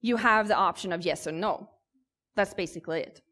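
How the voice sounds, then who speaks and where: A woman's voice: medium pitch, a little fast, sharp tone. Swedish woman giving an informal tech talk. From southern Sweden. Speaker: conference speaker. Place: conference stage.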